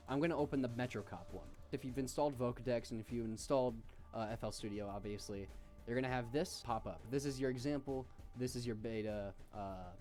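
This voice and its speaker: A man giving an informal, offhand software tutorial with slangy asides. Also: medium pitch. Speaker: tutorial host. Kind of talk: casual software tutorial narration.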